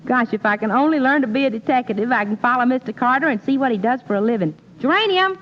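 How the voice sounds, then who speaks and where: An actress playing a gullible, excitable, folksy woman in old-time radio comedy, full of exclamations. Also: fairly high pitch, quick pace, natural voice. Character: scatterbrained, excitable comic lady, folksy and gullible. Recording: noisy, muffled old radio recording.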